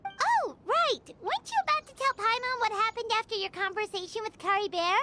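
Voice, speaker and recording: A woman voicing a small, chirpy, curious female fairy companion in a video game, with a squeaky childlike voice. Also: animated, brisk, bright, forceful tone, slight background noise, full character voice. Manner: speaks of self in third person, perky. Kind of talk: video game character dialogue.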